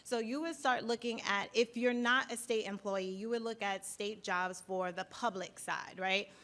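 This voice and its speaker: Woman explaining job site steps to a room, mid pitch, keeps saying right?. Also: steady tone, moderate pace, a bit bright. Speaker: workshop presenter. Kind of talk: walking an audience through a job website.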